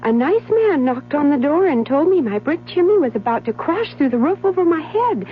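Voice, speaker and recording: High, lilting, naive lady. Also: sing-song, normal speed, a little hiss or hum. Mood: innocent, flustered. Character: naive, trusting, genteel lady, easily flustered. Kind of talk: comic radio public service sketch. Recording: dull old radio broadcast recording.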